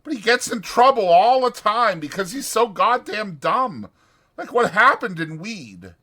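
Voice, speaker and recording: A man voicing an exasperated but protective ranch hand in a dramatized audiobook, blunt and scolding, with a rough folksy drawl. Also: high voice, very animated, a little slow, pushed and bright, pitched above the performer's own voice, exaggerated voice. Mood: exasperated, wary. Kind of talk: dramatized audiobook character acting.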